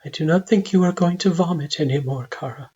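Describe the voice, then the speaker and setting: A woman's voice: on the high side, normal speed, darkish tone, highs cut off, pitched above the performer's own voice, close to an everyday voice. A woman voicing a tender, anxious monster mother who speaks with formal care, in a story. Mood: gentle.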